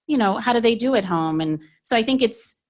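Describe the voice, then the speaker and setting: A woman's voice: on the high side, expressive, a little fast. A woman pediatrician in her forties, sharing her experience in a reflective, conversational way at a workshop.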